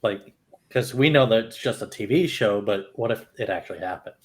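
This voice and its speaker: A man's voice: lowish voice, a little fast. A man chatting casually as a podcast panelist, in a loose way with "like" dropped in often. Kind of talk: casual chat.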